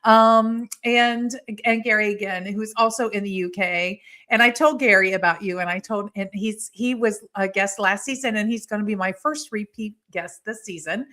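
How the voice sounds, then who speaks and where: A woman's voice: mid-range voice, moderate pace, few pauses, bright, forceful tone. A woman hosting a live podcast over a video call, greeting viewers by name in a chatty way. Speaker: podcast host. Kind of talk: live-streamed podcast chat.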